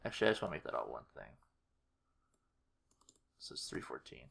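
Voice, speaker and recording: A man giving a casual screen-recorded walkthrough, thinking aloud in a low-key, halting mutter. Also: big pitch swings, vocal fry, over music. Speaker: video creator. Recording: faint noise with keyboard clicks. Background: computer keyboard typing and mouse clicks.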